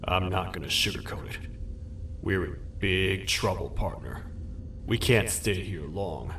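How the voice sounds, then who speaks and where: A man's voice: low-pitched, a little slow, gravelly, a little hiss or hum, full character voice. A man voicing a cocky, world-weary cartoon mascot lawman in a video game, talking in old-time drawling slang. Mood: grim, weary. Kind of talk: video game character dialogue. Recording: echoey, distant, loud music behind.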